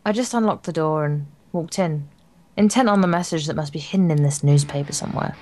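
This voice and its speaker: Young woman, lowish voice, let's play narration, playful casual banter, quiet music behind. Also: fairly quick. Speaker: let's play co-host reading a visual novel aloud. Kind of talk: reading game text aloud with casual banter.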